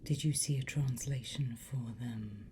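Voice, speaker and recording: A woman whispering an ASMR roleplay as a sly, softly menacing demonic teacher, in a coaxing, teacherly manner with pauses. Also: a bass voice, a little hiss or hum. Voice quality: breathy, mostly whispered.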